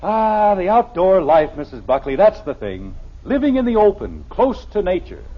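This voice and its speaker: An actor playing a stubbornly cheerful, folksy small-town American husband in an old-time radio comedy, with a husky voice and a hearty, boastful manner. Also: male voice, high voice, big pitch swings, normal speed. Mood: hearty, contented. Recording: dull vintage radio recording.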